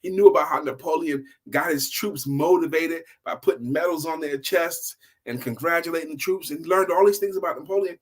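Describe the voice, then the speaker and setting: A man's voice: a little high, a little fast, hardly any pauses. A middle-aged man hosting an informal motivational storytime, repeating key phrases for emphasis like a seasoned speaker. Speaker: storytelling host, sales coach and speaker.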